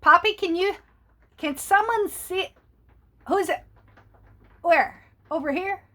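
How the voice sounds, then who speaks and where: A woman's voice: extremely high pitch, slow, pushed and bright. A woman reading a picture book aloud to young children, playful and asking her listeners questions.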